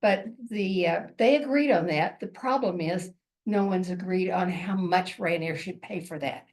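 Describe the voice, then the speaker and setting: A woman's voice: lowish voice, normal speed. A woman giving an informal presentation at a community meeting, earnest and rambling, often correcting herself.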